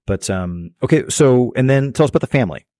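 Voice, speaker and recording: A man hosting a podcast, asking his guest quick, conversational questions. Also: medium pitch, lively intonation, mellow, no echo, studio quality. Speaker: podcast host.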